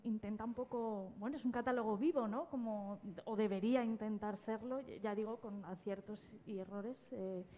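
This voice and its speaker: A woman's voice: medium pitch, warm tone, a little hiss or hum. A woman from explaining things as a panelist, thinking aloud and repeating words as she goes. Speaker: podcast editor, panelist. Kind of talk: spontaneous panel discussion. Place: live panel at a festival event.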